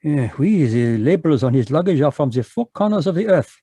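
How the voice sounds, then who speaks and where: An actor playing a fussy, courtly Belgian detective, in a live radio drama over a video call. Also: male voice, a little high, big pitch swings, a little fast, dark tone, slightly rough, dry recording, exaggerated voice. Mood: smug, courtly. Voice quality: precise, courtly French-inflected delivery. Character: fussy male Belgian detective, polite and sly.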